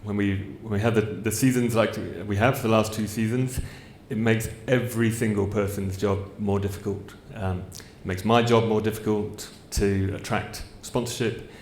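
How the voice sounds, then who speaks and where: A low-voiced man answers a question on a panel, hesitant and pausing on fillers. The sound is roomy, with faint noise underneath. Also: fairly even intonation. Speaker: commercial director on a panel. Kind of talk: panel answer at a fans' forum.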